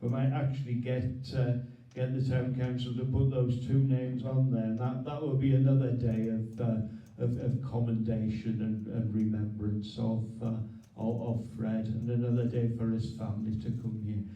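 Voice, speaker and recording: A man hosting a local history meeting, speaking off the cuff in a halting way, repeating words and filling with "uh". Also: mid-range voice, flat delivery, dull audio. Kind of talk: unscripted thanks and announcements at a meeting. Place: meeting hall. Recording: echoey hall, voice from far off.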